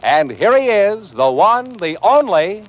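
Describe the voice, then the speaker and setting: A male announcer presents a mid-century broadcast show with showy projection. His fairly high voice swings animatedly in pitch, with music clearly behind it. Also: big pitch swings, a little slow, muffled recording.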